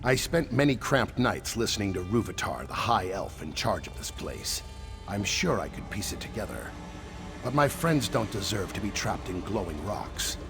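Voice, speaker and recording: Game role: wary reptilian male survivor, lowish voice, lively delivery, dry sarcasm, music underneath. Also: moderate pace, gravelly. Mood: urgent, bargaining. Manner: wry, dry sarcasm, clipped questions tagged on statements. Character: wary sardonic male lizard-folk survivor hiding in a ruined temple. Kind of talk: video game character dialogue.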